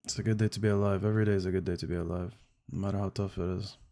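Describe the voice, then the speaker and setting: Chatty male streamer, lowish voice. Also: little pitch movement, very fast, warm tone. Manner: friendly, chatty, addresses viewers directly. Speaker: game streamer. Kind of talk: casual live-stream chat.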